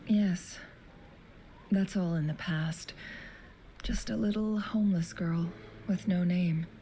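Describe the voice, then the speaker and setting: A woman playing a serene, wise and wistful young woman in a video game, with soft, breathy, measured poetic delivery. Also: on the low side, steady tone, a little hiss or hum. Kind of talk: video game story dialogue and narration.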